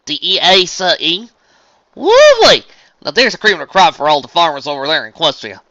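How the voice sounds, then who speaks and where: A man's voice: a little high, big pitch swings, fairly quick, pushed and bright, no echo, lo-fi sound, pitched above the performer's own voice. A man voicing a plain-spoken, hearty young female farm pony in a story, with a folksy Southern US twang and drawl.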